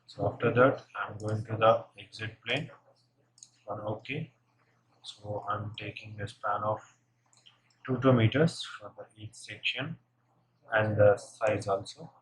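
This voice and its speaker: A man narrating a step-by-step software tutorial, unscripted and halting as he thinks aloud while working. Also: on the low side. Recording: noisy room, keyboard and mouse clicks.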